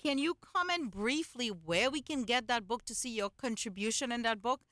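A woman's voice: a little high, lively intonation, moderate pace, fairly bright tone, dry recording, clean studio sound. A woman hosting a conversational talk show interview, jumping in with urgent interjections and questions.

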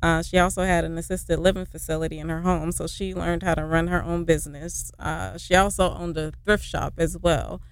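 A woman's voice: fairly low pitch, fairly even intonation, fairly quick, hardly any pauses, clear, resonant tone, no room sound. A woman who founded a nonprofit, speaking as a radio guest in a hesitant, earnest way with many fillers. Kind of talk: casual radio interview.

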